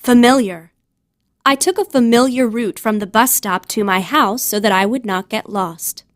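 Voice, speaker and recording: A woman narrating a scripted vocabulary lesson in a deliberate, instructional way, giving words and their meanings. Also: fairly high pitch. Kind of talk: scripted educational narration, word then definition.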